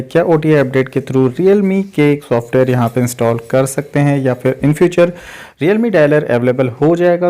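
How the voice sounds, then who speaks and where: Higher-pitched, mellow Indian man explaining tech. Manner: conversational, addresses listeners as friends. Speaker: tech channel host. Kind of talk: explanatory tech Q&A narration to viewers.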